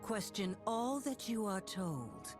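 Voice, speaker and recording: A woman's voice: mid-range voice, lively intonation, slow, music in the background. A woman playing resolute, devout celestial warriors in a fantasy game, speaking in solemn declarations. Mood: solemn conviction.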